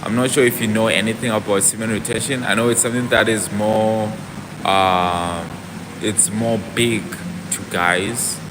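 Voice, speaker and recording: A man's voice: fairly low pitch, fairly even intonation, moderate pace, noisy background. A young man on a casual fitness livestream, chatting to viewers and answering their comments aloud as he talks. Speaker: fitness vlogger.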